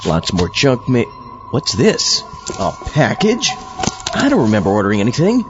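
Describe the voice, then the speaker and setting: A man's voice: medium pitch, huge pitch swings, moderate pace, highs cut off, music in the background. A man playing an easygoing zombie astronaut who hosts an old-time radio show, chatty and muttering to himself in a comedic monologue. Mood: musing, curious. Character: easygoing male zombie astronaut hosting from his underground lair. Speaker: podcast host.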